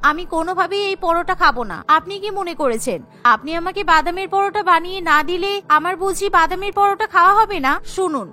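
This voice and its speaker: High, bright, petulant young wife acted by a woman. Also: fast, faint music behind. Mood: defiant. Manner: indignant, complaining, argumentative. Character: stubborn, petulant young daughter-in-law obsessed with food. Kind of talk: cartoon voice acting.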